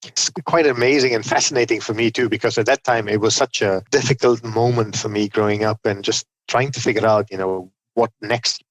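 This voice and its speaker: A man's voice: on the low side, steady tone, a little fast, dry recording, poor audio. Chatty man telling his story, dry sound. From Malaysia. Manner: chatty, self-deprecating, quotes himself often. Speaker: podcast guest. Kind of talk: conversational storytelling.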